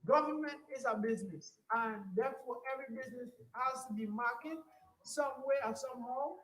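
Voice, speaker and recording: A woman's voice: high-pitched, fairly quick, roomy sound, poor audio. A woman, from Grenada, co-hosting a casual talk radio discussion in an opinionated, lecturing way. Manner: opinionated, lecturing, many fillers.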